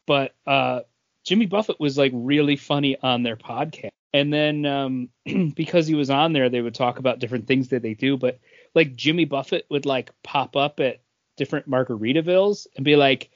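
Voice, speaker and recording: A man's voice: mid-range voice, moderate pace, no echo. Casual male podcast host, dry lo-fi sound. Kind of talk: casual chat. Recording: dull lo-fi audio.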